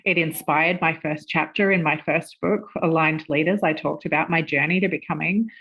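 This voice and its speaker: A woman in her forties, a podcast guest, chatting casually with lively laughter and the odd swear word. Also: low-pitched, normal speed, poor audio. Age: 40s. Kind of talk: casual interview chat.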